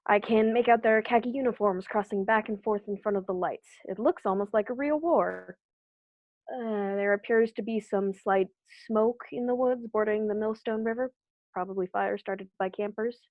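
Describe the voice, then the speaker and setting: A woman's voice: medium pitch, moderate pace, dry recording, close to an everyday voice. A young woman acting an army captain giving a live field report in a radio drama. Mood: smug, casual. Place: bedroom at home. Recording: dull, muffled home recording.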